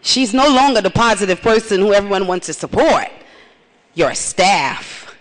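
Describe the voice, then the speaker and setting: A woman's voice: medium pitch, animated, normal speed. A middle-aged woman from Trinidad giving an earnest, testimonial conference speech with repeated phrases. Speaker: conference speaker. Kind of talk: plenary speech. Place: large conference hall. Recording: reverberant hall.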